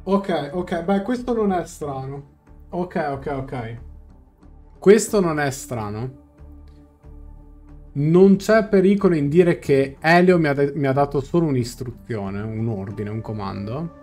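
A man's voice: fairly high pitch, animated, takes it slowly, a little mellow. A young man doing a live game reaction as a streamer, translating dialogue aloud. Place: small room indoors, on webcam. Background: game music.

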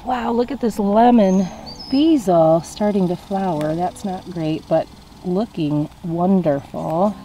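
Mellow-voiced woman touring her garden. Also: on the low side, slow pace, a little hiss or hum, rough recording. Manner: relaxed, chatty, pointing out plants as she walks. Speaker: gardening vlogger. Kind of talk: casual walking garden tour. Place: outdoor backyard vegetable garden. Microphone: handheld camera.

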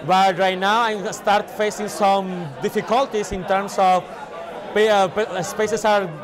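A man with a Spanish accent, a native Spanish speaker, giving casual, halting interview answers as an event organiser. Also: high-pitched, level tone. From Spain. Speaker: meetup organiser. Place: large busy indoor venue. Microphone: clip-on lapel. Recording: busy crowd chatter behind.

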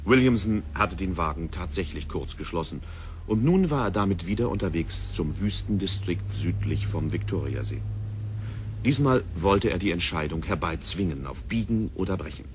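A man narrating a 1960s radio drama in a measured, dramatic storytelling manner. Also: deep voice, animated, a little hiss or hum.

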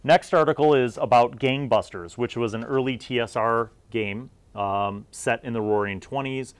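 A middle-aged man hosts a casual review in an ordinary mid-pitched voice. He talks off the cuff in a conversational, rambling way, thinking aloud as he moves from point to point. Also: normal speed, clear, resonant tone. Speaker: video host reviewing old gaming magazines. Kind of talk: casual unscripted review commentary.

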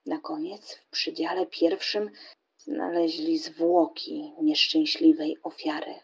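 A woman's voice: sits low, flat delivery, slow, gravelly, husky, rough recording. A woman giving dramatic scripted narration as the narrator of a radio play.

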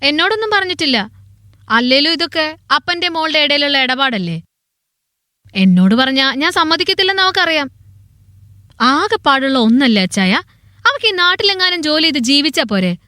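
A woman's voice: high-pitched, sing-song, normal speed, pauses often, pushed and bright, no room sound, clean studio sound, full character voice. A woman playing a fretful older village wife. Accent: Kerala Malayalam. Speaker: farmer's wife. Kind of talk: radio drama acting.

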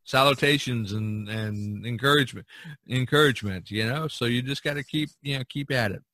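A man's voice: medium pitch, fairly quick, sharp tone, no echo. A man hosting a casual podcast, giving advice in a rambling, folksy way full of "you know". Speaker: podcast host and painter. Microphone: video call recording.

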